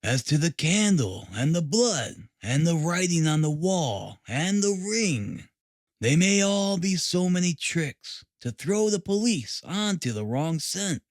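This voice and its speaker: Audiobook role: smug male police detective, acted by a man narrator; formal, pompous, lively intonation, dry close sound. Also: on the high side, slow pace, no room sound. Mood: confident. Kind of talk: audiobook character reading.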